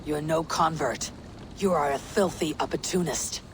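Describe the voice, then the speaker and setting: A woman's voice: low-pitched, normal speed, slight background noise, natural voice. A woman playing a proud, blunt female Viking warrior in a video game, dry and stern in manner. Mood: scornful. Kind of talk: video game cutscene acting.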